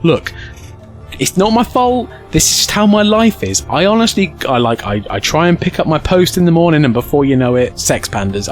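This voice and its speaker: A British man streaming to a live chat, telling casual comedic stories with crude, self-mocking humour and acting out the dialogue. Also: fairly high pitch. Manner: acts out dialogue with excited outbursts. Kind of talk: animated casual comedic storytelling.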